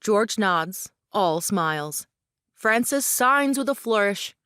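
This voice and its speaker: A female narrator reads scripted stage directions for an audio play. Her pitch is middling, and the recording is very dry and close. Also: expressive, slow pace, fairly bright tone, clean tone, dry recording.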